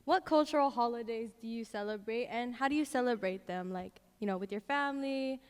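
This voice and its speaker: A young woman with a slightly high voice asks stage questions, rambling and full of fillers. Her words carry long hall reverb. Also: brisk, clean tone. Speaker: student co-host. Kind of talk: asking interview questions on stage. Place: school assembly hall.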